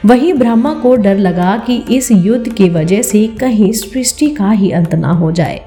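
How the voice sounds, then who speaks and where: A woman's voice: mid-range voice, dark tone. A woman reading scripted mythological stories as a narrator. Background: background music.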